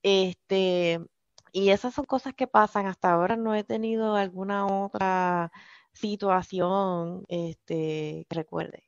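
Puerto Rican woman guest, slow and halting speech, dry and dull-sounding line. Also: mid-range voice, fairly even intonation, smooth, dry recording. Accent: Puerto Rican Spanish. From Puerto Rico. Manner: hesitant, many filler sounds. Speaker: podcast guest. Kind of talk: casual interview chat.

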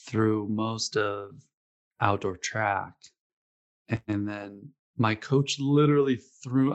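Rambling man hosting a chat. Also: on the low side. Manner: rambling, with many fillers and quoted asides. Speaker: podcast host, former runner. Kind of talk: casual interview chat.

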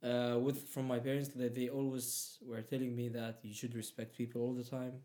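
A man's voice: medium pitch, level tone, normal speed, dark tone. Hesitant Arabic-speaking man in casual talk. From Palestinian, raised in Egypt. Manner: hesitant, many fillers and restarts. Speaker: guest. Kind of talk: casual conversation.

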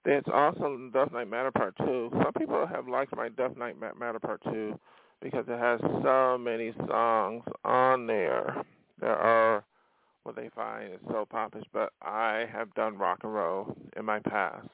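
A man's voice: medium pitch, a little slow, vocal fry. A man hosting his own podcast as a musician, rambling casually with many fillers and restarts. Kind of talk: casual solo podcast talk. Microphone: phone or voice-message recording. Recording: dry, phone-like lo-fi sound.

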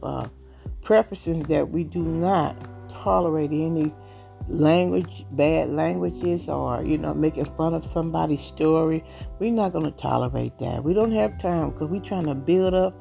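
A woman in her late fifties with a Southern US accent. She speaks in an earnest, rambling way. Her voice is dark and gravelly, and faint instrumental music plays behind her. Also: deep voice, normal speed. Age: 50s. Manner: earnest, rambling, warm-hearted testimony. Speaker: podcast host. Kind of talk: casual talk.

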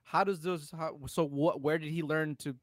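A man's voice: on the high side, fairly even intonation, normal speed, no room sound, studio quality. A man co-hosting a casual film discussion, speaking bluntly and informally with some swearing. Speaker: podcast co-host.

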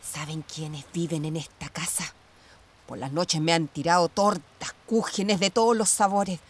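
A woman's voice: lowish voice, steady tone, a little slow, slight background noise, natural voice. A woman playing an older, imperious, paranoid ex police commander in a radio play, cold and sarcastic, with a Chilean accent. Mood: grave. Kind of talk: Spanish-language radio theatre acting.

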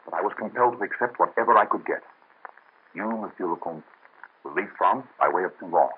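Radio play lead: a devoted doctor, low husky voice, lo-fi vintage recording. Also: male voice, brisk. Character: kind young male doctor of the Revolution. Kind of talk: 1930s radio drama acting. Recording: muffled lo-fi old radio transfer.

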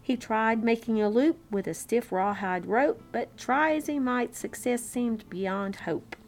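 A woman reading a children's story aloud in an expressive, unhurried, amused manner, with chatty asides. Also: a little high. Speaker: children's story-time reader. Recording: classical violin music bed. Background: classical violin concerto background track.

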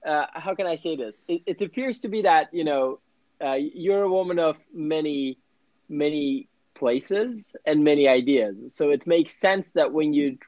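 A man's voice: fairly high pitch, a little slow, a little mellow, dry recording. A man hosting a casual interview, enthusiastic and full of fillers. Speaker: host. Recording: dry, dull lo-fi sound.

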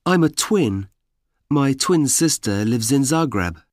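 Slow, deliberate man reading drills. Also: medium pitch, lively intonation, darkish tone, no echo, studio quality. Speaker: course audio speaker. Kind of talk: slow, clear example sentences for learners. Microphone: dry studio mic.

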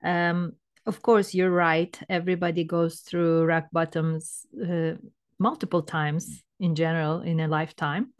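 A middle-aged woman from Turkey, speaking English with a Turkish accent, telling her story hesitantly with many pauses. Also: lowish voice, little pitch movement, smooth. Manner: hesitant, many fillers and restarts. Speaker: guest coach. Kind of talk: personal story in interview.

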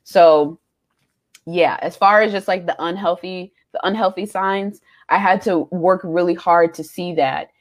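A young woman in her twenties co-hosting a faith podcast, telling personal stories candidly with frequent pauses and ums. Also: on the low side, steady tone. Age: young adult. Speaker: podcast co-host. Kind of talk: casual chat.

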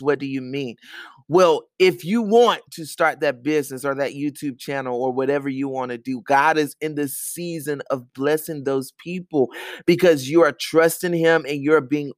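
A man's voice: fairly high pitch, no room sound, clean studio sound. Earnest man preaching encouragement. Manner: earnest and exhorting, repeats phrases for emphasis. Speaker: podcast host. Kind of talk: encouraging faith talk, sermon-like. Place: his bedroom.

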